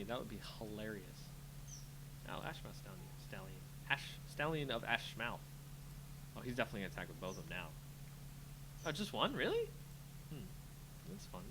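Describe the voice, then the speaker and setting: Man, medium pitch with very lively swings, casual gamer commentary, muttering to himself, noisy recording. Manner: muttering to himself, self-talking, playful sing-song filler. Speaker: host of a card game channel, playing. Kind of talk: casual live game commentary, thinking aloud.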